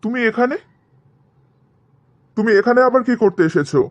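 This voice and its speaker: A man's voice: very high-pitched, lively intonation, normal speed, no echo. A man playing a protective, insistent middle-aged father in a cartoon drama. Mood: startled, annoyed. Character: protective, stern father, middle-aged, guilt-tripping his daughter.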